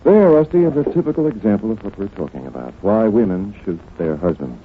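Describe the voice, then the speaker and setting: An actor playing a confident, wry psychologist detective in a brisk 1940s radio drama style. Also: male voice, on the low side, expressive, close to an everyday voice. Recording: noisy, tinny old radio recording.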